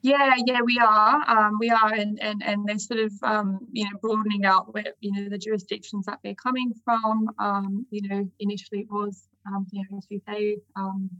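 A woman's voice: mid-range voice, level tone, normal speed, few pauses, bright, forceful tone, dry recording. A woman with an Australian accent, a lawyer answering interview questions hesitantly with many ums, over a video call. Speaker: guest lawyer. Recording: dull video call audio.

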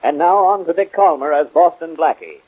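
Higher-voiced vintage radio announcer man. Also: fairly quick, husky. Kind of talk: 1940s dramatic radio announcing. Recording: noisy, muffled 1940s radio recording.

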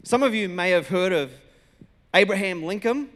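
High-voiced Australian preacher in a reverberant hall. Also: male voice, animated, normal speed, fairly bright tone. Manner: conversational, colloquial, acts out dialogue. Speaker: senior pastor preaching. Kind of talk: sermon with personal storytelling.

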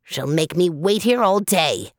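A woman voicing a huge, bossy male condor who holds a girl captive, speaking in a gruff, suspicious and threatening way. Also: lowish voice, lively intonation, a little slow, no echo, studio quality.

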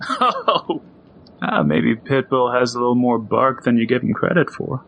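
A man's voice: mid-range voice, big pitch swings, unhurried, vocal fry, lo-fi sound, slight background noise. A man playing a playful, wisecracking bounty hunter who talks in a jaunty, joking way in an audio drama.

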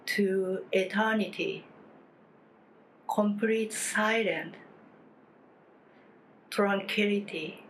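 A woman leading a guided meditation as a teacher, speaking calmly in short phrases with long pauses and gentle instruction. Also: mid-range voice, low-quality recording. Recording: clear steady background noise, room ambience.

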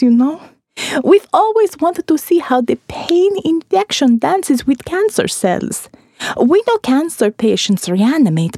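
Woman playing a creepy, detached male doctor, mellow-toned. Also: sits high, no room sound, clean studio sound. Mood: eager. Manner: clinical, matter-of-fact, detached. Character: creepy, blunt middle-aged male doctor, socially awkward. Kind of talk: audiobook character dialogue.

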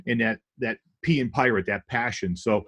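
A man's voice: medium pitch, moderate pace, a bit bright. Upbeat man hosting a school podcast. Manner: upbeat, enthusiastic greeter, loose rambling questions. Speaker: school podcast host, former principal. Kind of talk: casual podcast interview.

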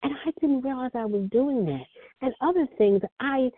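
A soft-spoken woman telling her personal recovery story as the speaker at a phone meeting. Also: sits high, normal speed, slightly rough, no room sound. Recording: phone line.

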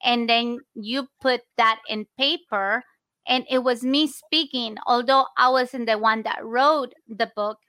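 A woman's voice: a little high, steady tone, unhurried, bright, forceful tone, no echo. A woman with a Spanish accent whose first language is Spanish, hosting a casual interview show and talking in an effusive, grateful way with fillers. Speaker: podcast host.

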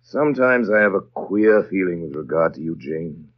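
Muffled old radio play, a brooding manor master, really low voice, theatrical, commanding but tender. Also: male voice, lively intonation, normal speed. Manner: theatrical, musing, clipped asides. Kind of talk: 1930s radio drama acting. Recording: dull lo-fi 1930s radio recording.

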